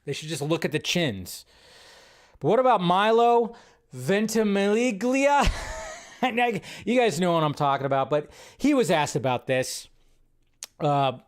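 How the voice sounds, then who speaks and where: A man's voice: a little high, clear, resonant tone. A man hosting a casual movie news show, rambling and laughing as he talks. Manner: rambling, laughs often, trails off. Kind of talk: casual solo commentary.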